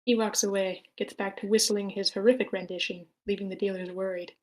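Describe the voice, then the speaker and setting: A woman's voice: medium pitch, level tone, fairly quick, darkish tone, rough recording. A woman reading out scripted stage directions as the narrator of a screenplay table read.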